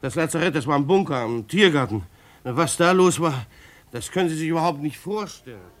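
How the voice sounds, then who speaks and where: A man acting an upright, stubborn husband and clerk in a radio drama. His voice sits fairly high and he stumbles over his words, repeating them as he insists on doing the right thing. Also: lively intonation, brisk, lightly acted. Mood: explaining, flustered. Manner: hesitant, repeating himself. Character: earnest, stubbornly upright married clerk, rattled under pressure. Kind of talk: German radio play acting.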